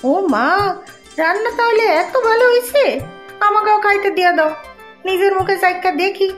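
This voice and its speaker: A woman's voice: extremely high pitch, normal speed. A woman voicing a fussy, grumbling middle-aged mother-in-law in a family cartoon, speaking with an East Bengali accent. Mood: softening, pleased. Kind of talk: animated family cartoon dialogue. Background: soft background music.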